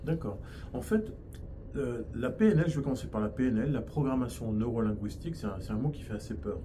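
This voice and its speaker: A man's voice: medium pitch, animated, quick pace, mellow, a little hiss or hum. French-accented man explaining patiently. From France. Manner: explains at length with frequent restarts and fillers. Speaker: hypnosis and NLP trainer. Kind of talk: explanatory interview answers.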